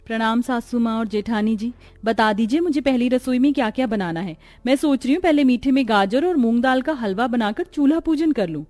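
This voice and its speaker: A woman's voice: on the high side, brisk, a little mellow, natural voice. A woman voicing a dutiful, exasperated young new daughter-in-law in a cartoon story, polite and plaintive. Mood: polite, eager.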